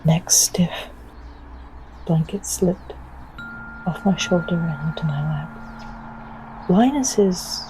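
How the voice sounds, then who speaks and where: An actress playing a wild, wary young woman, speaking a reflective, hushed and halting monologue in an intimate urban fantasy audio drama. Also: lowish voice, expressive, whispered, low-quality recording. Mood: tender wonder.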